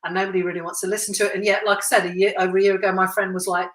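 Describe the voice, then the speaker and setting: Brief: chatty woman podcast host, lowish voice, roomy echo around her. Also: level tone, very fast. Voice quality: self-described deep voice. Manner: chatty and warm, laughs often. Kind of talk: casual interview chat.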